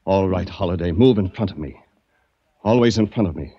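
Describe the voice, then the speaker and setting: Low, menacing radio gunman. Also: fairly quick, breathy edge. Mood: threatening. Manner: barks short orders. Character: menacing gunman villain, commanding and cold. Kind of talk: 1940s radio drama acting. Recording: muffled old-time radio recording.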